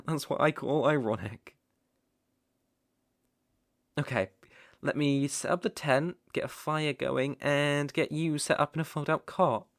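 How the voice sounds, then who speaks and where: A British man playing a devoted, possessive stalker in an intimate ASMR roleplay, tender and wry with halting phrasing. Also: on the high side, dry recording, studio quality. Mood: wry, musing. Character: devoted, possessive male stalker, outdoorsy and softly protective.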